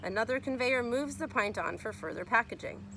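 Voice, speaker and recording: A woman's voice: on the high side, lively intonation, a little fast, gravelly, noisy background. A woman reading a children's nonfiction book aloud as a teacher would, explaining and speaking to young listeners.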